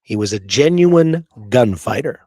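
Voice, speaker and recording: A man's voice: mid-range voice, animated, unhurried, darkish tone, no echo, studio quality. A man co-hosting a history talk show, reading out quoted passages and adding informal asides of his own. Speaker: podcast co-host.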